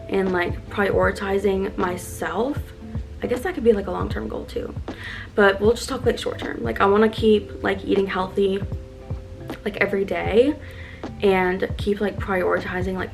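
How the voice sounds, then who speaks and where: Chatty young woman with vocal fry. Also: mid-range voice. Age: 20s. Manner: chatty, filler-heavy with constant "like"; upbeat and earnest. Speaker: lifestyle vlogger. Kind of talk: casual get-ready-with-me chat. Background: background music.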